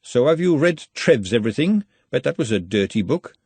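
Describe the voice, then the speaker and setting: A man voicing a sharp, brisk young working woman in a storybook, pointed and defiant in her delivery. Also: mid-range voice, very animated, husky, no echo. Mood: snappish. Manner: snappy, pointed.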